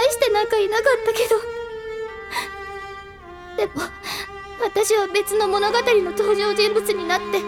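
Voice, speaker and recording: Very high, fragile tearful girl. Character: frail dying teenage girl, tormented, yearning, bittersweet. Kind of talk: Japanese audio drama acting. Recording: loud string music behind the voice. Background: violin and string music.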